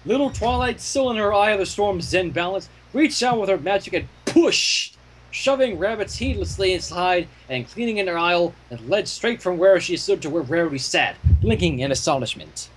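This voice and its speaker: A man reading fan fiction aloud as an expressive narrator, with casual asides. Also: high voice, normal speed, low-quality recording.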